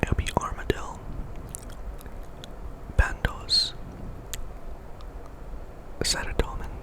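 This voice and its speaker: A man giving casual, rambling ASMR commentary on a video game in a conversational way. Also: extremely slow. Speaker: video game streamer and host. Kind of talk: whispered ASMR gameplay commentary.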